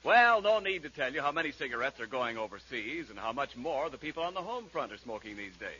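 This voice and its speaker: A man's voice: medium pitch, sing-song, fast, bright, forceful tone, a little hiss or hum. A man delivering a polished, emphatic radio advertisement in 1940s announcer style. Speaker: radio announcer, sponsor pitchman. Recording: dull 1940s radio recording.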